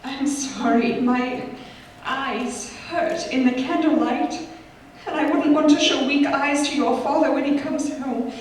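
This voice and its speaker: A woman playing a sorrowful, gentle mother in a stage radio play, careful and restrained as she keeps her composure. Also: sits high, fairly even intonation, a little slow. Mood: restrained grief. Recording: echoey hall from far off, faint noise.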